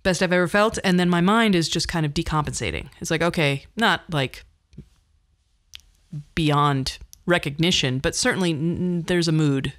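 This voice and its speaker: A woman's voice: low voice, normal speed. A woman in her fifties hosting a podcast, telling personal stories in a conversational, wry, self-deprecating way. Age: 50s. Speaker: podcast host. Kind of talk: personal storytelling monologue.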